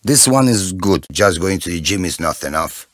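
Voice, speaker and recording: An actor playing a blunt, sporty Russian gangster with a terse, plain-spoken manner. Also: male voice, low-pitched, expressive, moderate pace, fairly bright tone, no echo, clean studio sound. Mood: matter-of-fact.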